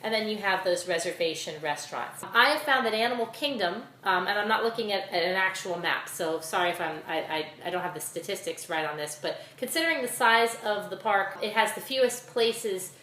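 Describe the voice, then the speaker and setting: A chatty middle-aged woman co-hosting a podcast, given to joking asides and quick laughs. Her voice sits at an ordinary middle pitch. It echoes around the room over a faint hum of ambient noise. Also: expressive, bright, forceful tone. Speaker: podcast co-host. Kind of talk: casual chat.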